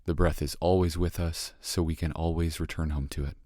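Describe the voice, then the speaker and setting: A man leading a guided meditation in a calm, gentle, measured manner with long pauses. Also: rumbling low, no echo, studio quality. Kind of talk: guided meditation, slow and soothing instruction.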